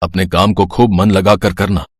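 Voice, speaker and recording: A man voicing a worried, ageing village barber and father who admonishes in a Hindi animated story. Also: sits low, normal speed, breathy edge. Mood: advising. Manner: admonishing, exasperated.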